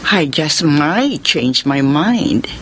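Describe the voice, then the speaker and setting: An actress playing a sentimental, hesitant older aunt and boss in a Filipino radio drama, speaking with a Filipino accent. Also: female voice, low voice, very animated, drawn-out words, background noise, heavily acted. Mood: tender, confiding. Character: older aunt and company boss, Charito, falling in love again. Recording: radio drama with background music.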